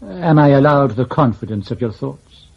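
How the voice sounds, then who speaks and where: An actor playing a guarded, dutiful intelligence section leader in a radio drama, deferential and hesitant but aggrieved underneath. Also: male voice, mid-range voice, animated, normal speed, creaky, slightly rough. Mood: doubtful. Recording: muffled radio drama recording.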